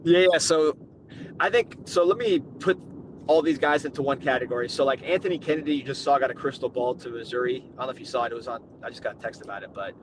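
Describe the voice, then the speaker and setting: A male podcast host breaking down football recruiting in a loose, rambling way, often restarting his sentences and drifting into asides. His voice sits at an ordinary pitch. Clear background noise hums under the recording. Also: quick pace. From Cuban heritage. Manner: rambling, self-interrupting, conversational. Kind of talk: casual sports podcast chat.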